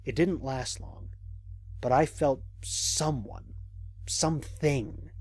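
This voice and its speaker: Man playing a crisp, cautious space explorer. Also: mid-range voice, a little mellow, no room sound. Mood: reflective, puzzled. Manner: measured, reflective, formal diction with deliberate pauses. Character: cautious, observant male space explorer recording mission logs. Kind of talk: scripted audio drama monologue.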